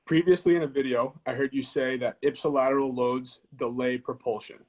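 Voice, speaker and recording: A man's voice: medium pitch, steady tone, brisk, darkish tone, highs cut off. A man taking part in a group call, asking questions and reasoning aloud in a casual way.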